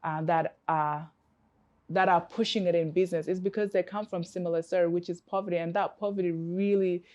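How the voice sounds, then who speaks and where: A woman's voice: lowish voice, steady tone, fairly quick, darkish tone. A woman from Africa, a coach, answering interview questions in an earnest, reflective way with many fillers and restarts.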